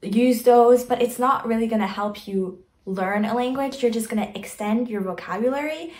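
A young woman giving earnest, chatty advice straight to the camera from her bedroom, speaking with emphatic, reassuring warmth. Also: fairly high pitch, moderate pace, echoey room. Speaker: vlogger. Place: bedroom, indoors. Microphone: camera in front, medium framing.

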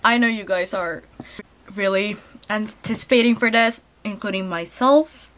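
A young woman with a cold, chatting excitedly as she unboxes and shows clothes in a haul video. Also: medium pitch, measured pace, noisy background. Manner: chatty and excitable, chuckles at prices. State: has a cold. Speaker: channel host unboxing a lucky bag. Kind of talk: casual haul vlog voice-over. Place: indoors at a table.

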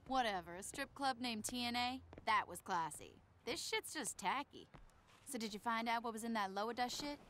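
An actress playing a brash, impatient gang boss in a video game, blunt and sarcastic. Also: female voice, fairly high pitch, moderate pace, fairly bright tone, slight background noise, lightly acted. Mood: sardonic. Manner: blunt, sarcastic, foul-mouthed. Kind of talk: video game cutscene acting.

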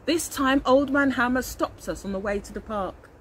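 An older woman teacher reading a picture book to young children expressively, pausing to explain and ask questions. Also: high voice, fairly bright tone. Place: outdoors in a leafy garden. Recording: outdoor garden recording with birdsong and noise.

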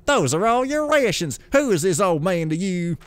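A man's voice: high voice, big pitch swings, brisk, voice pushed up. A man plays a selfish rich young novice in a storybook fable. He exclaims theatrically in a raised, swooping voice full of self-importance. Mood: haughty.

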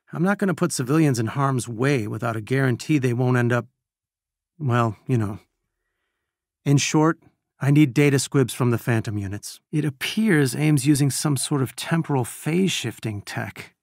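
An actor playing an earnest, brainy scientist and weary resistance leader, giving articulate, measured mission briefings in a video game. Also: male voice, mid-range voice, dry recording, studio quality, natural voice. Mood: earnest, explanatory.

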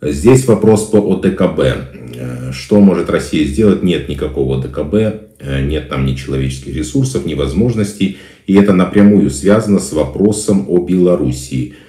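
A man's voice: deep voice, expressive, normal speed, mellow, echoey room. A middle-aged man answering viewer questions on a live stream, with hesitant fillers and emphatic repetition. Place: small indoor room. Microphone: phone camera close-up.

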